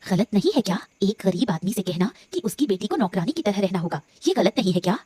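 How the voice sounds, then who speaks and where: A woman's voice: medium pitch, very animated, quick pace, mellow, breathy edge, dry recording. A woman voicing an outspoken, principled married village woman in a cartoon story, challenging and reproachful. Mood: righteous, reproachful. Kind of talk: Hindi cartoon story dialogue.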